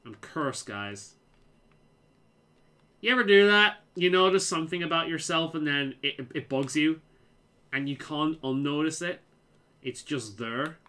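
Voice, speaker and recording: Casual game streamer, man, mild Liverpool accent, lively stammering delivery. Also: on the high side, unhurried, long pauses. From England. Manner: repeats words, stammers, restarts sentences. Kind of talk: casual chat while playing.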